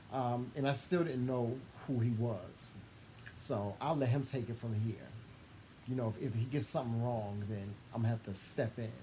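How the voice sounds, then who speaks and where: A man's voice: mid-range voice, warm tone. A man telling a personal story in a casual, conversational way, gushing affectionately and often circling back to repeat his phrases. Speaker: co-host telling a couple's story. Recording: clearly noisy room ambience.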